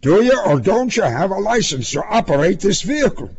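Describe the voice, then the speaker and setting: A man voicing a burly, belligerent traffic cop in a storybook reading, with a loud, husky voice and a barking, sarcastic manner. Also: medium pitch, expressive, moderate pace, dull audio. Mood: stern, sarcastic. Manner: barking, bullying, accusatory.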